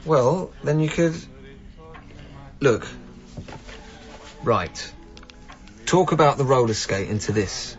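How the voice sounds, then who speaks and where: Practical younger man, naturalistic radio drama. Medium pitch, patient and coaxing, slightly muffled broadcast sound. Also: animated, slow, long pauses, faint music behind. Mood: patient, persuasive. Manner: patient, persuasive, explanatory. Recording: dull, band-limited radio broadcast recording.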